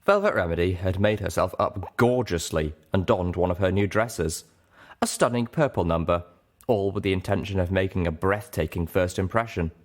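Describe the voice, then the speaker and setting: A man's voice: sits low, normal speed. A man reading a first-person story aloud as an audiobook narrator, in scripted narration.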